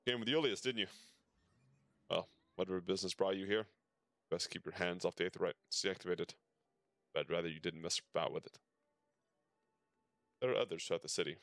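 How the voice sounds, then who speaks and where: A man's voice: low-pitched, fairly even intonation, fairly quick, long pauses, a bit bright, no echo. A man streaming a video game, reading dialogue aloud and reacting casually, sometimes stumbling over words.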